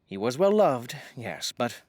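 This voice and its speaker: A man's voice: mid-range voice, sing-song, a little slow, a little mellow, no room sound. A man playing a courtly, fussy, dog-doting lawyer in a comedy audio drama, with florid, formal diction. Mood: delicate, apologetic. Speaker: voice actor.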